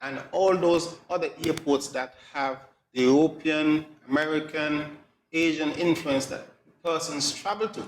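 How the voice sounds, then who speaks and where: A man's voice: on the high side, fairly even intonation, measured pace. Middle-aged man, echoey room. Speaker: air traffic controller, interview guest. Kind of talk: explanatory interview talk. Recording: echoey room, light background noise.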